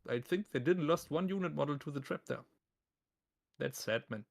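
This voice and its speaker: Male esports caster, thick German accent, native German speaker, fairly high-pitched, noticeable vocal fry, casual live commentary, joking, self-deprecating. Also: moderate pace. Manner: self-deprecating, talks to chat and viewers.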